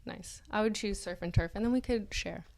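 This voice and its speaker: A woman's voice: medium pitch, fairly quick, a little mellow. A woman co-hosting a podcast, reading an essay aloud in a dramatic style mixed with casual banter. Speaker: podcast co-host.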